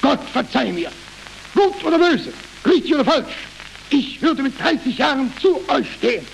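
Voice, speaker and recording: A man's voice: very high-pitched, big pitch swings, moderate pace, vocal fry, voice pushed up, exaggerated voice. A man reading the part of an old, worn-out, sceptical general in a stage play, with a hesitant, weighty manner. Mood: moved. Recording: noisy, crackling historical archive recording.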